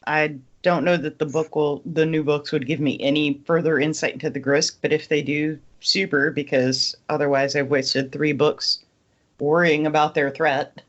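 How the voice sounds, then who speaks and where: A woman's voice: sits low, little pitch movement, normal speed, highs cut off. A woman co-hosting a casual book discussion among friends, speaking with wry, dry humor. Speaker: podcast co-host.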